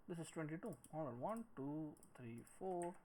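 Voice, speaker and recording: A man in his thirties giving a screen-recorded tutorial, thinking aloud in a halting, musing way as he works. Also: on the high side, lively intonation, dark tone. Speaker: 3D modelling tutorial presenter. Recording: noisy room with clicks and typing.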